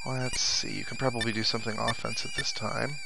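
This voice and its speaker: A man's voice: medium pitch, steady tone, a little fast, creaky, heavy background noise. A man giving casual let's play commentary, thinking aloud to his viewers as he plays. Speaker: gaming video host. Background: video game music and sound effects.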